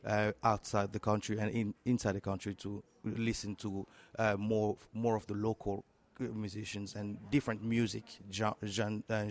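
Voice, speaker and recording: Low-voiced man with a French accent, halting. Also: darkish tone. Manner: halting, repeats words while searching for phrasing. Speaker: interview guest, tech entrepreneur.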